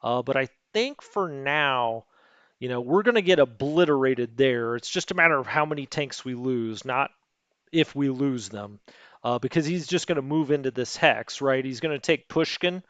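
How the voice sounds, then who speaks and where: A man's voice: medium pitch, animated, moderate pace, a bit bright, clean tone, no echo, highs cut off. A man hosting a strategy game let's play, thinking aloud in a rambling, hesitant way with lots of fillers and second-guessing. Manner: rambling, self-questioning, hesitant, many fillers.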